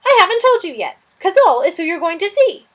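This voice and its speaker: A woman's voice: extremely high pitch, big pitch swings, brisk, pitched above the performer's own voice. A woman voicing Suz, a twittery fretful lizard. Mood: flustered. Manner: twittering, easily fretting, dithering. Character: fussy, flustered little male lizard. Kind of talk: audiobook character voice.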